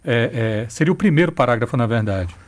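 A man's voice: medium pitch, expressive, a little fast. A man, chatting casually as an interviewer.

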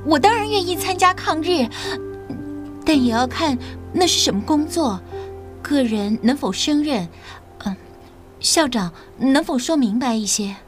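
Young female teacher, high pitch, earnest, polite, formal, cautious, audio drama, faint music. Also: animated, measured pace. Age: young adult.

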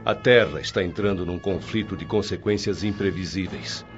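A man playing a deep, grave spirit mentor. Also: normal speed, natural voice. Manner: grave, explanatory. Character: wise calm male spirit mentor. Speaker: spiritual guide character. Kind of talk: radio drama acting. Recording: dull lo-fi radio recording with music.

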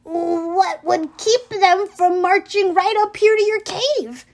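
A woman's voice: very high voice, slow, a little mellow, voice pushed up. A woman voicing a nervous young male satyr who is posing as a sweet bride and speaks in a fluttery, hesitant way. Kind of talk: audiobook character reading.

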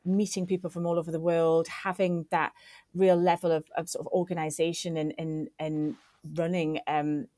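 A woman's voice: sits low, level tone, normal speed, mellow, no echo. A woman in her forties from Northeast England, a podcast guest telling her career story in an effusive, upbeat way. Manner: effusive, upbeat, piles on superlatives. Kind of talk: casual interview storytelling.

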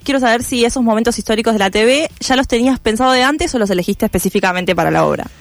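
A man's voice: very high-pitched, rapid-fire, no echo. A man asking casual questions as an interviewer.

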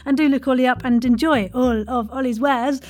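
A woman's voice: high voice, fairly even intonation, brisk, darkish tone. A woman hosting a casual, chatty podcast interview in a playful, effusive way with flowery wording.

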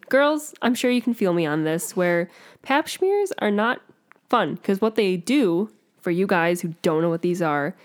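A young woman co-hosting a podcast, telling a candid story in a giggly, animated way. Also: mid-range voice. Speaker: podcast co-host. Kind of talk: casual podcast chat.

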